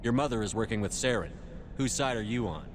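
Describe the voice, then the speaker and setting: A man's voice: fairly low pitch, brisk, natural voice. A man playing a terse, businesslike military commander in a video game, asking and stating things directly. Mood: suspicious. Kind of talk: video game cutscene voice acting. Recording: long reverberant hall, faint noise.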